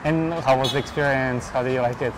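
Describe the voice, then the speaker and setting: A man's voice: medium pitch, fairly even intonation, fast. A man hosting a street interview, asking loose questions with many short reactions and hedging fillers. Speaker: interviewer, YouTube host. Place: outdoors on a city street. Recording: noisy outdoor street recording with traffic. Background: traffic and street noise.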